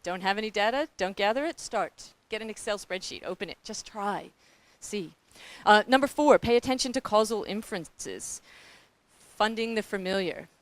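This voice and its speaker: A middle-aged woman with a New Zealand accent gives a panel talk about grantmaking. She is blunt and candid, animated, and often speaks straight to the audience. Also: mid-range voice, normal speed.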